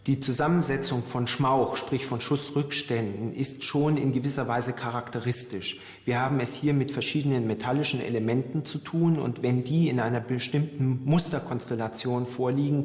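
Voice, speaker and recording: A male forensic expert with speech explains technical matters in formal, precise wording. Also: mid-range voice. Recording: echoey room with long reverb.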